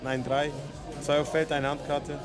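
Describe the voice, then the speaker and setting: A young man co-commentating a card game while feeling under the weather, making quiet, thoughtful remarks. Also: fairly high pitch, flat delivery, a little slow, over loud music. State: under the weather, low energy.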